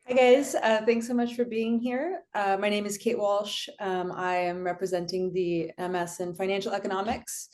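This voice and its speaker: A woman's voice: medium pitch, steady tone, normal speed, hardly any pauses, a little mellow. A woman from California introducing herself on a panel in a friendly, chatty way with many fillers, on a video call. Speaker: panelist, finance professional. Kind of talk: casual self-introduction.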